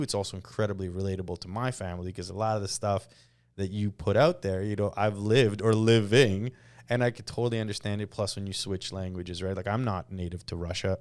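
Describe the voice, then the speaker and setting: A man's voice: deep voice, darkish tone. A man hosting a podcast, asking easygoing, curious questions in casual interview talk. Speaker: podcast host.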